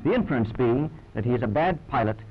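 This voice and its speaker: A man's voice: mid-range voice, animated, quick pace, dull audio, faint music behind. A British man narrating a 1940s wartime newsreel in a wry, clipped, understated manner, on an old film soundtrack.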